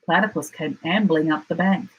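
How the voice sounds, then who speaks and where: A woman with an Australian accent, reading a children's story aloud in an expressive storytelling style. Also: sits low, moderate pace, breathy edge, rough recording. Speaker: storybook reader.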